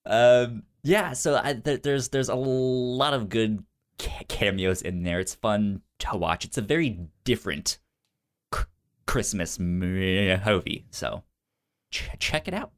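A man co-hosting a casual film review podcast, speaking informally and haltingly, with a frequent stutter that repeats sounds and syllables. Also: fairly low pitch. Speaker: podcast co-host.

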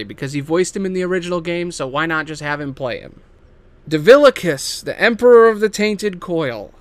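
Higher-voiced man, smooth and emphatic. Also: fairly bright tone, no echo. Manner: emphatic and theatrical, swinging into casual asides; casual swearing. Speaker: host pitching video game movie adaptations. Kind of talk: casual, semi-improvised story pitch to camera.

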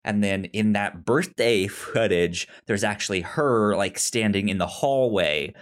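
A podcast co-host chatting casually about film in a halting way, often repeating and stammering on words. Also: deep voice.

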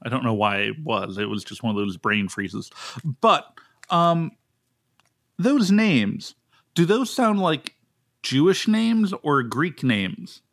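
A pastor from the States talks through a Bible passage on his podcast, easygoing and animated. His voice sits at an ordinary middle pitch, but he keeps jumping higher for emphasis and tripping over restarts as he thinks aloud. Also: slow. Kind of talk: casual Bible study chat.

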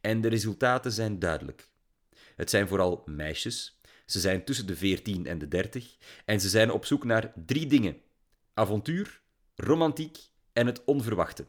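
A man voicing a calm, analytical middle-aged father in an audiobook, measured and soothing. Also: on the low side. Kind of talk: audiobook character voice.

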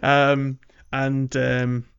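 A man talks casually as a gaming podcast host. His voice sits at a middling pitch. The recording is a little dull and lo-fi, taking the edge off his words. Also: completely flat delivery, extremely slow, creaky, smooth.

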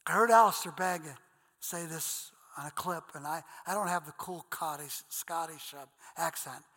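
A man's voice: a little high, moderate pace, pushed and bright, long reverb tail. A man with an Ohio accent preaching a sermon in an earnest, conversational way, calling his listeners guys and reading Scripture aloud. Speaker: pastor.

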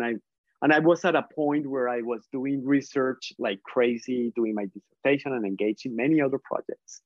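Brief: male Colombian academic, Spanish-accented English, measured and halting, slightly muffled and dead-sounding audio. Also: medium pitch, no echo. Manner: thoughtful, hesitant, many restarts and fillers. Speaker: professor, guest. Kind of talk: conversational interview answers. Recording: dull lo-fi dry sound.

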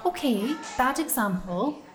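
A woman's voice: a little high, animated, unhurried, heavy background noise. A woman with a Scottish accent playing a harried, blunt nurse who talks through her thoughts in a brusque, chatty way. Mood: determined. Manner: brusque, chatty inner monologue. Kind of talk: audio drama acting.

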